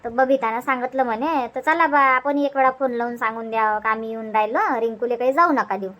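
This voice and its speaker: Chatty village mother for cartoon comedy, female performer: high voice, Varhadi Marathi accent, bossy but easygoing, rambling delivery. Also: quick pace, fairly bright tone, natural voice. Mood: explaining. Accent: Varhadi Marathi (Vidarbha). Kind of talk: homemade cartoon comedy dub.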